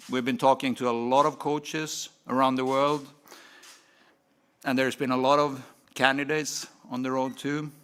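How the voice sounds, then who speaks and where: A man making a steady statement at a press conference about hiring a new coach, pausing with fillers. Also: mid-range voice.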